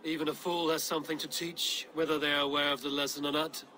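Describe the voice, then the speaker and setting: A blunt, loyal Viking warrior in a video game drama. The voice sits a little high for a man, with a dry, measured delivery and wry restraint. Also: level tone, sharp tone, slight background noise, poor audio. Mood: dry, dismissive. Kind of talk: dramatic game cutscene acting.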